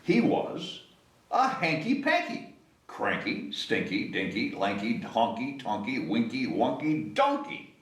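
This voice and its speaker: Very animated man reading to children, echoey room. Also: mid-range voice, slow pace. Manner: playful, coaxing, invites listeners to join in. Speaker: story time reader, host. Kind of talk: animated picture-book read-aloud to children. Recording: echoey room, distant microphone.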